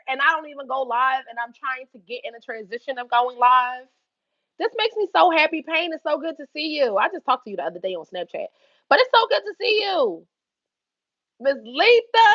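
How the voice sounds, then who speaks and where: A young woman with a Philadelphia accent telling a story on her livestream, animated and swearing freely between laughs. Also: high-pitched, dry recording, muffled recording. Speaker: YouTube livestream host. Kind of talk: casual livestream storytelling to chat.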